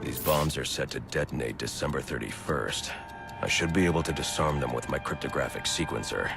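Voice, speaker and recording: A man's voice: very deep voice, brisk, music in the background. A man playing a grim, brooding masked vigilante in a video game, speaking in a terse, stern growl. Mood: grim focus. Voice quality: gravelly growl. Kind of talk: video game cutscene acting.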